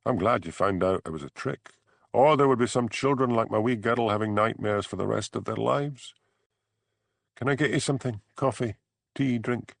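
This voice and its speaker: A man's voice: lowish voice, normal speed, gravelly, no room sound. A man playing a shamefaced, anxious Scottish village father in an audiobook. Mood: awkward. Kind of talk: audiobook character dialogue.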